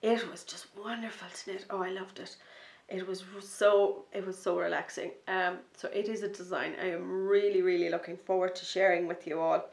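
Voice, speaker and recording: A woman hosting a knitting podcast, chatting in a rambling, enthusiastic way, a little sniffly from a starting cold, sometimes dropping to a soft near-whisper. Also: lowish voice, steady tone, normal speed, creaky, roomy sound. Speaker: knitting podcast host and designer. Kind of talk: casual chat to camera.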